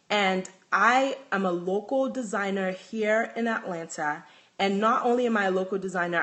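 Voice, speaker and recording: A young woman with a Nigerian accent, a handbag designer chatting casually on a phone livestream, restarting sentences and reading out viewer comments. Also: medium pitch.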